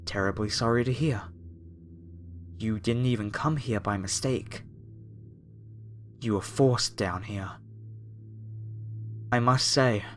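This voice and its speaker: A man playing a polite, apologetic masked cultist, a former music director, in a horror ASMR roleplay addressed to the listener. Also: lowish voice. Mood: polite menace.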